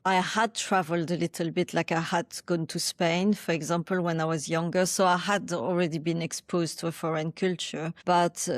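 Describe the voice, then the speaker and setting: Brief: woman guest, thirties, lowish voice, dry close sound, reflective and hesitant delivery. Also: dry recording. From France. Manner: thoughtful, with frequent restarts and hesitations. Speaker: podcast guest. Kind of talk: reflective interview answers.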